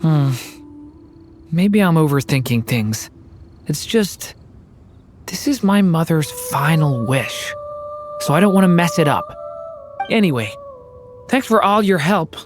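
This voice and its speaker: Shy, earnest dragon child; soft, hesitant lines. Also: mid-range voice, sing-song, slow pace, long pauses, soft background music. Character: young dragon boy, earnest and anxious.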